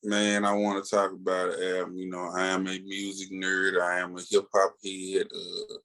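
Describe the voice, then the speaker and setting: A man's voice: low-pitched, completely flat delivery, moderate pace, bright, forceful tone. A man co-hosting a podcast in casual chat, with a laid-back, slangy, colloquial way of talking. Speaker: podcast co-host.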